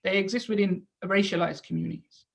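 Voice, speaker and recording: A woman's voice: mid-range voice, steady tone, brisk, gravelly. Woman giving an explanatory lecture. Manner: explanatory, with rhetorical questions and check-in tags. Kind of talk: lecture to an audience.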